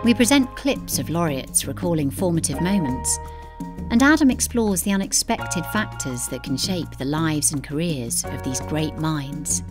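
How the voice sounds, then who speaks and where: A woman's voice: deep voice, lively intonation, measured pace. A woman reading a scripted podcast promo as an announcer. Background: music.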